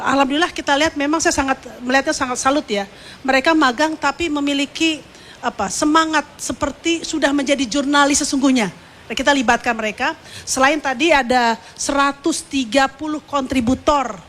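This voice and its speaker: A woman giving a semi-formal, unscripted ceremonial speech as a media executive, halting as she searches for words with pauses and repeats. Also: high voice, a bit bright.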